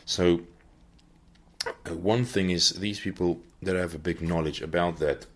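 A man hosting a podcast, giving an unscripted Bible teaching talk and often pausing to search for his words. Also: low voice, steady tone. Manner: earnest, searching for words as he goes.

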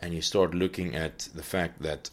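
A man hosting a podcast, giving an unscripted Bible teaching talk and often pausing to search for his words. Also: deep voice, fairly even intonation, a little hiss or hum. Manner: earnest, searching for words as he goes.

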